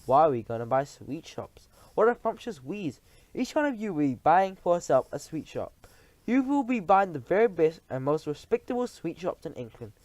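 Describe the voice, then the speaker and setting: A man's voice: on the high side, very animated, moderate pace, darkish tone, dry recording. A man reading aloud and voicing a tiny, tyrannical female witch leader who shrieks orders in stilted, odd grammar. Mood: commanding.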